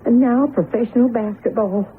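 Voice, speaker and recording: Doting older farm wife woman acting, high lilting voice, tender radio drama style, tinny hissy recording. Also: moderate pace, close to an everyday voice. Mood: tender, wistful. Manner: tender, wistful, gently fussing. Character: loving older farm wife and proud mother.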